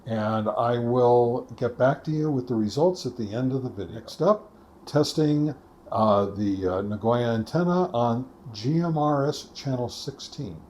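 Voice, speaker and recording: A man narrating a casual product test as a review host, talking step by step in a halting way. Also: medium pitch, darkish tone, slight background noise. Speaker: host of a ham radio gear review channel.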